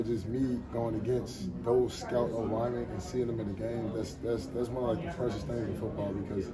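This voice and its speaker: A young man with a lowish voice speaks easily after a game, padding his answers with "you know" and "uh". Reporters' phones and mics are held close to him in an indoor hallway. Loud crowd chatter runs behind him the whole time. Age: young adult. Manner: laid-back, many "you know" fillers. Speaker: college football linebacker. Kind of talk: postgame press scrum answers. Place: locker room hallway, indoors, among reporters. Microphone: reporters' phones and handheld mics held close. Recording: noisy press scrum with crowd chatter.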